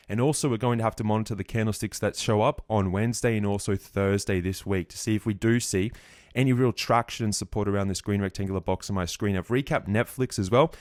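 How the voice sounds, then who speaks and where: A man giving a market recap over his trading charts, a bit croaky, walking through trades confidently. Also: fairly low pitch, darkish tone, no room sound, clean studio sound. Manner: fast, confident chart walkthrough. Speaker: trading educator.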